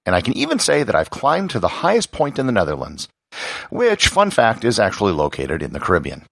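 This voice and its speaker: American man, podcast narrator, husky low voice, gravelly, scripted educational read, measured and emphatic. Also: wildly swooping pitch, a bit bright, dry recording. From Wisconsin, United States.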